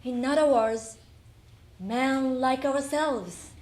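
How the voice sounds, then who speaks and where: A woman performing in readers theater, reciting dramatically in measured, declamatory phrases. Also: high-pitched, darkish tone. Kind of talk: dramatic recitation, acting out dialogue. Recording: roomy echo.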